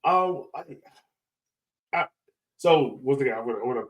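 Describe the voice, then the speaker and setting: A man's voice: mid-range voice, expressive, normal speed. A man in his thirties with an American accent hosting a casual podcast chat in a rambling, jokey, slangy way. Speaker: podcast host, interviewer.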